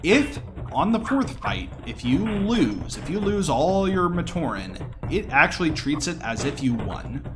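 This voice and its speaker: A man's voice: fairly high pitch, very animated, unhurried. A man giving casual live commentary on a video game speedrun, explaining things as he plays. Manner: explanatory, talking through the run as it happens. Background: video game music.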